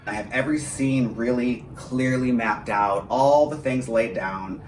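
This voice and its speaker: A man's voice: mid-range voice, a little slow. Chatty man in an echoey room. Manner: chatty, self-deprecating, freely swearing. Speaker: audio drama writer and director. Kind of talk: casual studio interview. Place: small home studio, indoors. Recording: roomy echo with faint background noise.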